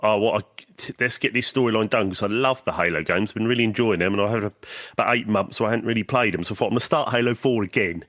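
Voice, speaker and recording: A man talks in a fairly low voice, his nose blocked up by a cold. He chats on a podcast in a halting way, full of ums and false starts, as he rambles on. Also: a bit bright. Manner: hesitant, many ums and restarts. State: stuffy with a cold. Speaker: podcast guest. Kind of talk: casual chat.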